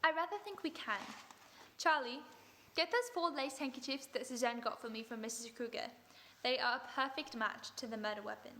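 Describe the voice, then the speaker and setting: A woman playing a composed, sharp detective in an old-time radio drama, cool and in command. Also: high voice, a little fast, a little hiss or hum.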